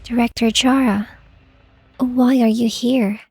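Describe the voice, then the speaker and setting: A woman plays a resolute young director in a game fan dub. Her voice is rather high and breathy, the words coming slowly and haltingly over soft music. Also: fairly even intonation, exaggerated voice. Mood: tense, hushed. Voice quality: breathy, husky.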